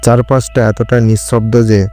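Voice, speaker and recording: A man narrating a scripted horror story in the first person, in a measured, solemn storytelling manner. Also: mid-range voice, little pitch movement, dark tone, soft background music.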